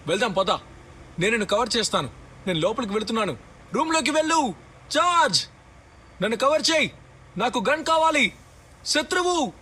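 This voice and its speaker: A man voicing an urgent, excitable squadmate in a battle royale video game, giving clipped commands. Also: very high-pitched, husky, exaggerated voice. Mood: focused. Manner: clipped, imperative callouts. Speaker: game character voice.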